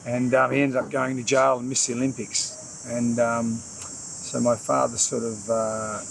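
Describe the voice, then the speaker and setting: A man's voice: mid-range voice, flat delivery, measured pace, gravelly, fairly bright tone, a little hiss or hum, poor audio. A man, a former boxer, reminiscing in a documentary interview with easygoing, hesitant storytelling. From Australia.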